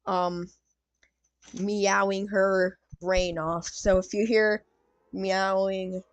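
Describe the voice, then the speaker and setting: A woman's voice: mid-range voice, flat delivery, drawn-out words, clear, resonant tone, no room sound, dull audio. A woman streamer chatting casually as she reacts to videos, with asides to her pet cat.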